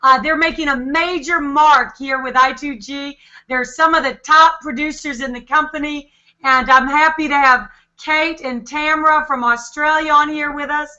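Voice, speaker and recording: A woman's voice: sits high, fairly even intonation, normal speed, sharp tone. A woman hosting an online panel call, upbeat and full of effusive praise for her guests. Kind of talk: upbeat network marketing hosting. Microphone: video call. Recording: lo-fi video call audio.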